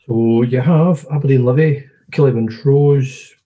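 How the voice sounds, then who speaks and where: A man's voice: medium pitch, measured pace, highs cut off. A man with a Scottish accent talking football casually as a podcast co-host.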